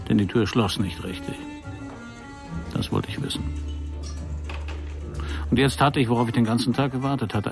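An actor playing a world-weary, hard-up private detective who narrates a crime radio play in a laconic, brooding way with a gravelly voice. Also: male voice, fairly low pitch. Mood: wry, weary. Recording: studio radio play with music behind.

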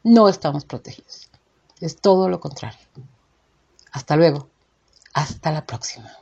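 A woman's voice: low-pitched, lively intonation, moderate pace, gravelly, a little mellow, husky, muffled recording. A woman with a Mexican accent giving an indignant, emphatic opinion monologue as a show host, full of rhetorical questions. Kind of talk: informal opinion monologue to listeners.

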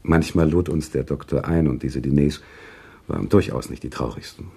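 An actor playing an earnest, sentimental author who tells a story in a radio play, with deliberate, expressive literary delivery. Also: male voice, rumbling low, gravelly, breathy edge, full character voice. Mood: reflective. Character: male author narrator recounting a sad love story, earnest and sentimental. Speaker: narrator.

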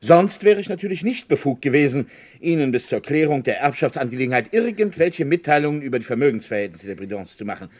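A man's voice: mid-range voice, sing-song, quick pace, darkish tone. A man playing a formal, self-possessed and condescending small-town lawyer in a radio crime drama. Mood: guarded, formal.

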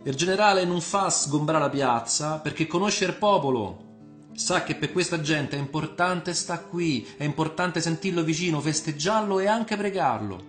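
A cocky, friendly young Roman man acted by a male storyteller chatters in colloquial dialect. His voice sits high and rings in a roomy space, full of ironic teasing, as in a radio story. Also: lively intonation, normal speed, faint music behind, lightly acted. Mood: bantering, explaining.

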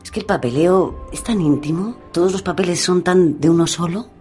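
A woman's voice: on the low side, normal speed, a little mellow, lightly acted. An actress playing a quirky, evasive woman in love in an intimate radio drama, dry and coaxing in a conversational way. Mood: tender, evasive. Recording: music behind.

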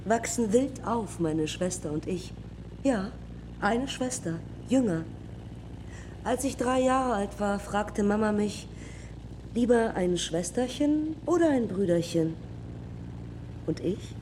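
An actress playing a wry, reflective young woman aviator of the 1930s in a radio drama, speaking deliberately in short, clipped fragments. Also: mid-range voice, lively intonation, noisy background. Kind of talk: radio drama monologue and dialogue.

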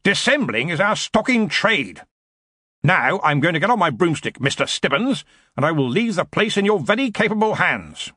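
A man's voice: big pitch swings, normal speed, pushed and bright, husky, no room sound, studio quality, exaggerated voice. A man voicing an old, imperious university wizard in an audiobook, with a weighty, commanding manner. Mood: blustering, defiant. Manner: booming, imperious. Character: old blustering male wizard, head of a university.

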